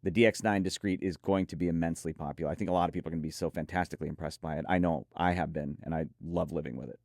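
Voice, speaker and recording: A man's voice: low voice, fairly even intonation, rapid-fire, gravelly, a little mellow, dry recording, studio quality. A man reviewing audio gear in a conversational, effusive and emphatic way. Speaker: product reviewer and presenter.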